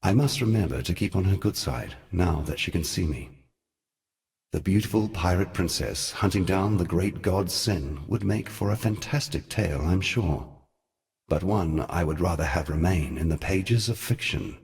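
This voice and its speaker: A man voicing an ancient, weary god in a fantasy game, speaking in a grave, deliberate and eloquent manner. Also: deep voice, vocal fry, darkish tone. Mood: wryly admiring. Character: ancient weary god, solemn, regretful, cunning male ally. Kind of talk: theatrical fantasy game dialogue.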